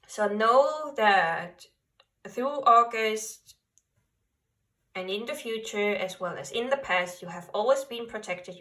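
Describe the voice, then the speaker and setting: A woman giving a pick-a-card tarot reading in a gentle, reassuring way, speaking English as a second language. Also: medium pitch, distant mic. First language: not English. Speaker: tarot reader.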